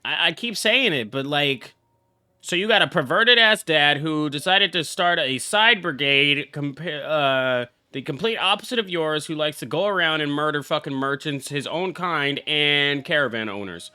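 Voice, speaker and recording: Brash, animated young man, bright voice. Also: on the high side, moderate pace, smooth, no room sound. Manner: brash, heavily profane, exclamatory. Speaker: game streamer. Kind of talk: casual live reaction commentary. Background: game music.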